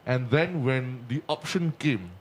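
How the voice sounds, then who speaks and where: A man's voice: mid-range voice, measured pace, a little hiss or hum. A young Singaporean man with a Singaporean English accent whose mother tongue is Punjabi, speaking emphatically on a community panel. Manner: animated, emphatic, repeats points. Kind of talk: casual panel chat.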